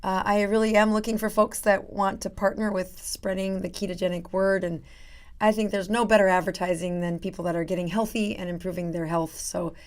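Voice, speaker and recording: A middle-aged woman doctor with a raspy voice that is going hoarse, chatting casually as host of her live stream with many fillers. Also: mid-range voice, fairly even intonation, brisk. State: losing her voice. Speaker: doctor hosting a live show.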